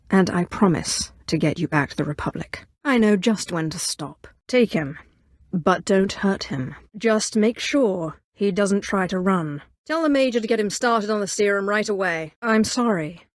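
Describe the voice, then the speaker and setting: A woman's voice: mid-range voice, a little mellow, dry recording, close to an everyday voice. An actress voicing an imperious, coolly menacing female Sith in a video game, with a clipped, commanding delivery and dry sarcasm. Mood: cool, controlled. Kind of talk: scripted game dialogue, standalone voice lines.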